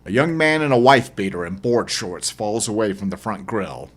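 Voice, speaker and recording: A man reading his own horror novel as dramatic first-person narration, husky and intense in delivery. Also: fairly low pitch, animated, moderate pace. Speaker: author reading own audiobook.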